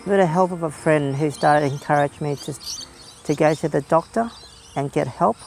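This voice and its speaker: A young man telling his own story in a documentary interview, speaking in a soft, earnest and reflective way. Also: on the high side, normal speed, gravelly, over music. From Australia, family from Vietnam.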